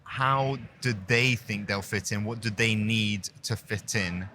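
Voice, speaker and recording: A man's voice: on the low side, slow pace, pushed and bright, a little hiss or hum. A young man in his twenties from Hong Kong, a business student, speaking articulately in an interview and posing rhetorical questions. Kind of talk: podcast interview.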